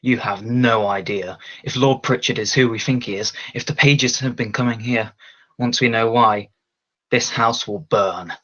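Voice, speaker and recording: A man's voice: mid-range voice, moderate pace, a bit bright, close to an everyday voice. Man acting a menacing servant, slightly muffled. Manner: threatening. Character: menacing, sneering male servant of a lord. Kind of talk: dramatic table read.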